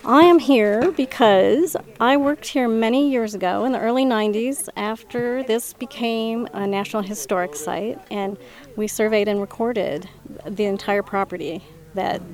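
Mid-pitched mature woman explaining informally. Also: measured pace. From Minnesota, US. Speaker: archaeological surveyor being interviewed.

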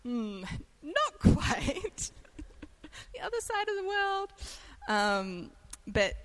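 A woman with an Australian accent giving a conversational sermon, chatty and quick to laugh at herself. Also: high voice, big pitch swings, fairly bright tone, a little hiss or hum. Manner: chatty and self-deprecating, laughs easily. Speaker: guest preacher.

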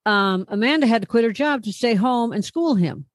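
A woman's voice: fairly high pitch, animated, moderate pace, dry recording, studio quality. A middle-aged woman co-hosting a casual podcast, telling rambling stories and teasing her co-host. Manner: chatty, rambling storyteller, teasing. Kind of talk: casual chat.

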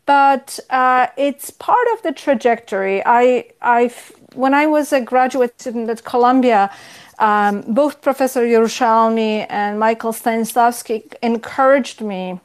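A middle-aged woman from Poland with a Polish accent, a professor giving a conversational academic interview in a thoughtful, halting, self-correcting way. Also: on the high side, clean tone. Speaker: history professor, interview guest.

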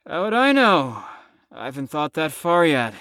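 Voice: mid-range voice, big pitch swings, normal speed, fairly bright tone, no room sound, studio quality. An actor in an audio drama playing a stubborn, sarcastic young patient who is worn out from lack of sleep. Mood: weary, defensive. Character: flippant, exhausted, stubborn young patient called Storm.

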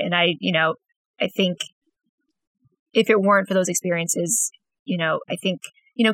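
A woman's voice: on the low side, a bit bright, dry recording, low-quality recording. A woman in her twenties co-hosting a podcast, talking in an upbeat, gushing way with plenty of fillers. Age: 20s. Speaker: podcast co-host. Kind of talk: casual podcast interview.

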